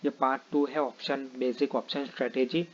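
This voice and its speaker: Man, non-native speaker, trading tutorial, halting, many fillers, mid pitch, muffled recording. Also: fairly even intonation, a little hiss or hum. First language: not Hindi. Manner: halting, many fillers and restarts, repeats "ठीक है". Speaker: trading teacher. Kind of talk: explaining options trading lesson.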